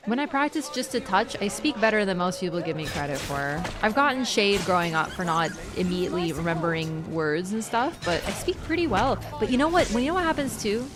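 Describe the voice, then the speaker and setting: A woman's voice: mid-range voice, a little fast, hardly any pauses, music in the background. Chatty woman streamer. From Canada. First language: Polish. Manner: chatty, animated storytelling, talks to chat. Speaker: gaming streamer. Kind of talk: casual chat while playing.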